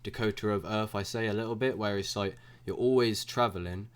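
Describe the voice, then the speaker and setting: Male podcast host, casual slangy rambler, fairly low voice. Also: a little fast, clean tone.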